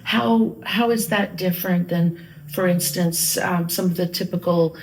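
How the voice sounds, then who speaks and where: A woman's voice: on the low side, a little slow, a little mellow, a little hiss or hum, poor audio. A woman hosting an interview, who asks her questions in a searching way and restarts them as she goes. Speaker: host.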